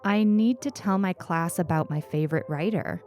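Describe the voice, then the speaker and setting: A woman's voice: on the low side, normal speed, darkish tone, soft background music, close to an everyday voice. A woman voicing a young schoolgirl in a story, eager, direct, impatient and a little sheepish. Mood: shy, earnest. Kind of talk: storybook character reading.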